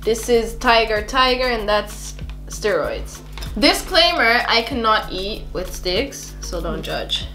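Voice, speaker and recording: A woman's voice: fairly high pitch, sing-song, slow, bright, forceful tone. Young dutch woman chatting over food, giggly, roomy echo, bit of music behind. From Netherlands. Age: young adult. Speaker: vlogger host. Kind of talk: casual chat while eating.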